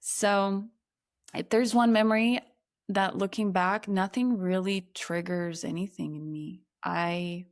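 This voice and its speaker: A woman from Ukraine, in her thirties, telling her personal story as a podcast guest in a reflective, earnest way that slows on emotional points. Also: mid-range voice, steady tone.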